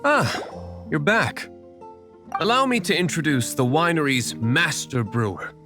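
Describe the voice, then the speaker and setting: A man playing a composed, aloof young winery owner in a video game, speaking in a measured, formal, reserved manner. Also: on the high side, sing-song, bright, forceful tone, faint music behind, full character voice. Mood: explaining calmly.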